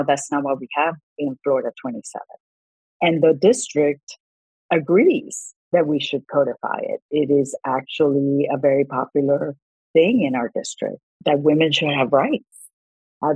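A woman's voice: low voice, a little mellow, slightly rough, no room sound, rough recording. A middle-aged woman from Colombia, a congressional candidate, speaking earnestly in a political interview and often restarting her words.

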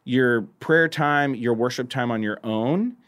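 A man, a worship songwriter, talking earnestly in a casual podcast chat and slowing down to stress his points. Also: medium pitch, a bit bright.

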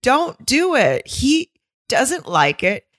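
A woman's voice: high voice, big pitch swings, takes it slowly, fairly bright tone, no room sound, studio quality. A woman co-hosting a casual recap podcast, joking and laughing often with rambling asides. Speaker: podcast co-host.

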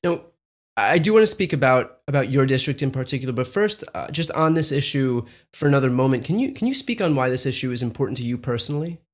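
A young adult man hosts a political podcast interview. His voice sits at an ordinary middle pitch. He sets up his questions in a measured, conversational way. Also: expressive. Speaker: podcast host.

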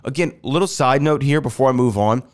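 A man's voice: mid-range voice, a little fast. A man hosting a solo podcast, rambling and correcting himself as he goes, with a nasal voice because he is getting over a sinus infection. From Houston, Texas. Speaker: podcast host. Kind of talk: casual solo podcast talk. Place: air-conditioned house.

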